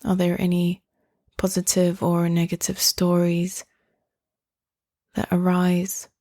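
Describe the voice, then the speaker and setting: A woman hosting a guided meditation in a soothing, gentle, unhurried manner, leaving long pauses between phrases. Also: lowish voice, completely flat delivery, dry recording, clean studio sound.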